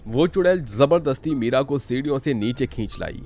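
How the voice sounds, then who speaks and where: A man's voice: mid-range voice, animated, normal speed, darkish tone. Male horror cartoon narrator over music. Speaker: story narrator. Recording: music bed under the voice.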